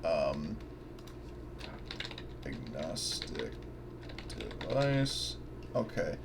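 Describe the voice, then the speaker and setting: A man's voice: extremely low pitch, big pitch swings, drawn-out words, creaky, a little hiss or hum. A man playing a tabletop roleplaying game, chatting casually and thinking aloud as he describes his character's actions.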